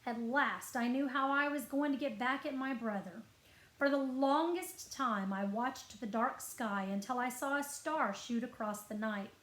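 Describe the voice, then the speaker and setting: A woman reading a children's story aloud as a librarian would, expressive and deliberate, drawing out key words. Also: a little high. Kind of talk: expressive scripted story narration. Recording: roomy, echoing indoor sound.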